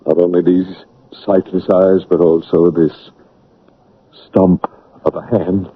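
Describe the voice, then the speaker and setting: A man playing a brooding, proud, blinded English gentleman in an old radio drama, with theatrical, halting delivery. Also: deep voice, flat delivery, breathy edge, exaggerated voice. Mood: bitter, yearning. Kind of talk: 1970s-80s radio drama acting. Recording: narrow, tinny old radio broadcast.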